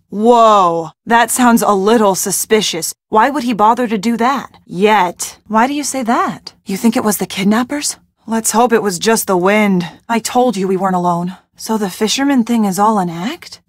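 A young woman in a mystery video game, the detective's anxious but sardonic friend. Her voice sits fairly high and is recorded dry and close. She talks in an expressive, conversational way with a wry edge. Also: moderate pace, dry recording. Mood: suspicious, anxious.

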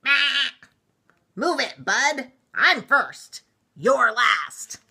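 Storybook grocery truck, rude and impatient male bully, loud raised husky bark, played by a woman. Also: sits high, big pitch swings, drawn-out words, pitched above the performer's own voice, full character voice. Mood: bossy, angry. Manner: barking, impatient. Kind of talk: children's picture book read-aloud.

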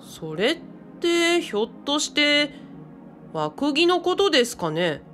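A woman's voice: sits high, sing-song, takes it slowly, a bit bright, faint music behind, exaggerated voice. A woman playing a modest, bookish young man in a radio drama, speaking hesitantly and matter-of-factly. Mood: thoughtful.

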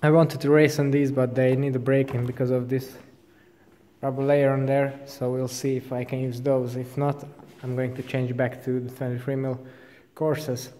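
A man's voice: mid-range voice, moderate pace. Young man vlogging in an echoey workshop. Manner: relaxed, informal explaining of gear. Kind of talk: casual workshop vlog. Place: large indoor bike workshop.

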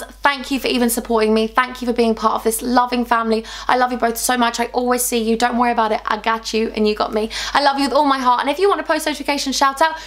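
A young woman, chatting bubbly and gushing in a casual beauty haul vlog, her voice a little worn. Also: high voice. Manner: bubbly, gushing, animated with hands. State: slightly losing her voice. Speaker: beauty vlogger. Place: small indoor room with sofa.

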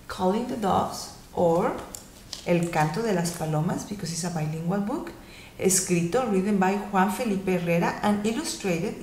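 A woman in her thirties from Mexico with a Mexican Spanish accent, answering interview questions at home in a gentle storytelling tone for children. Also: mid-range voice. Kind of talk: casual answers to a video interview. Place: living room at home. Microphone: laptop webcam. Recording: roomy home webcam recording, faint hiss.

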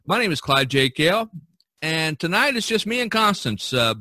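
A man's voice: fairly high pitch, sing-song, moderate pace, pushed and bright, no room sound. An American man talking casually as the host of a podcast.

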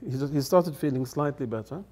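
A man's voice: mid-range voice, fairly quick, darkish tone. Cheerful man telling anecdotes. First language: English. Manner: chatty, laughing storyteller acting out quoted voices. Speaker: guest elder. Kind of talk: storytelling anecdotes. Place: studio set with dark curtains.

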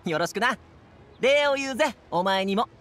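ゲームで、男性声優が演じる明るく気さくな若い護衛。くだけた少年っぽい口調で話す。. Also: male voice, high voice, lively intonation, normal speed, background noise. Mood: friendly. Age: young adult. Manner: casual, breezy, boyish slang. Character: upbeat, friendly young man, transport guard. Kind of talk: Japanese anime game voice acting.

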